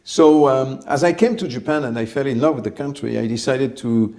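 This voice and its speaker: A man, telling his life story in an interview, measured and reflective, often pausing to find his words. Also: medium pitch, darkish tone. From France. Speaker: company head, interview guest.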